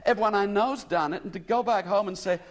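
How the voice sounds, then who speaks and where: High, gushing young American woman, put on by a male narrator; tearful, lively, storybook reading. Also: moderate pace. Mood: pleading.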